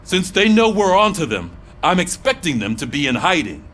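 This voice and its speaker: Game police sergeant: authoritative, businesslike, fairly high voice with lively inflection. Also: male voice, moderate pace. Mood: stern, urgent. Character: no-nonsense police sergeant briefing his squad. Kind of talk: video game voice acting.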